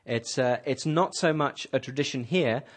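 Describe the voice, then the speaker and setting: A man's voice: medium pitch, moderate pace. A man hosting a radio English lesson, patiently asking a class questions and repeating their answers back to them. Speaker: host leading an English class.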